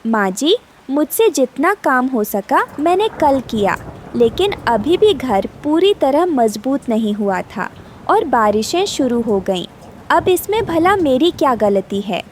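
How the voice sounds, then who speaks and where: Woman as a cartoon mother bird, young, gentle, worried, high pitch, expressive swings, plaintive. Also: a little slow, slight background noise. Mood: defensive, hurt. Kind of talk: Hindi cartoon voice acting.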